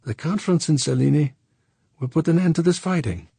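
Excitable man, pitched well up, put on by a male narrator, dry close sound. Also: a little fast, creaky, slightly rough, no room sound. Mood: incredulous. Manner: raised, exclaiming. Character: excitable older man, friend of the hero.

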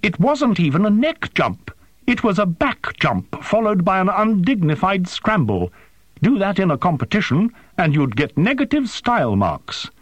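A man's voice: high voice, huge pitch swings, slow pace, no echo, dull audio, voice pushed up, heavily acted. A man voicing a fussy, indignant male machine in an audiobook, exasperated, sputtering and scolding. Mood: furious scolding. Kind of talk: audiobook character voice.

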